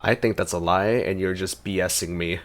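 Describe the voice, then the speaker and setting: Low-voiced man, casual gaming commentary. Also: steady tone, moderate pace. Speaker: gaming streamer. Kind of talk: casual gameplay reaction commentary.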